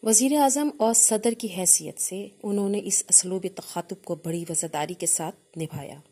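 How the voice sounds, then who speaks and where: A woman with a Pakistani accent narrates a literary memoir in a measured, formal storytelling manner. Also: on the low side, warm tone, breathy edge. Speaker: audiobook narrator reading a memoir.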